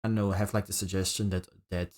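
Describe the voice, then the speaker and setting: A man hosting a casual podcast, asking and answering in a halting, polite way. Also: low-pitched, level tone, a little mellow. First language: Dutch. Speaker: podcast host. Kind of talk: casual podcast interview chat.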